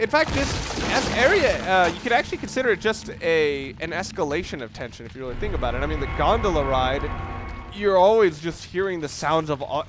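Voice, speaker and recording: A man's voice: a little high, noisy background. A man giving casual, analytical video game commentary, rambling and correcting himself as he goes. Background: video game sounds.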